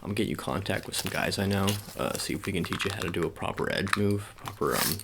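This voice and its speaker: Man, deep register, crackly vocal fry, ASMR personal-attention roleplay, halting improvised delivery, playful slang. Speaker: ASMR performer roleplaying a sports agent.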